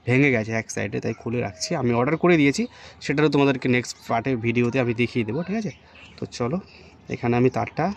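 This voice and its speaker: Male vlogger for a hands-on install tutorial: very quick, informal, unscripted delivery, mid pitch, noticeable background noise. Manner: informal, improvised, talking while working with hands. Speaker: motorcycle vlogger presenting an unboxing and installation. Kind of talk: casual hands-on tutorial chat to viewers. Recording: clear background ambient noise.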